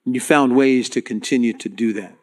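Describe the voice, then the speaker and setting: A man preaching to his congregation as their pastor, speaking earnestly and directly with halting restarts. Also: medium pitch, a little mellow. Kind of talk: sermon.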